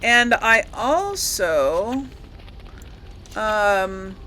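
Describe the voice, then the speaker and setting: A woman's voice: mid-range voice, very animated, very slow, sharp tone, slight background noise. A woman giving casual, rambling commentary as she plays a game, often correcting herself and lightly amused. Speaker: gaming host.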